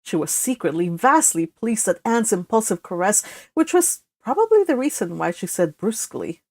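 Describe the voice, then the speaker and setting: A woman's voice: medium pitch, big pitch swings, normal speed, a little mellow, no room sound. A woman hosting and narrating a storybook read-along. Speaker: host and narrator.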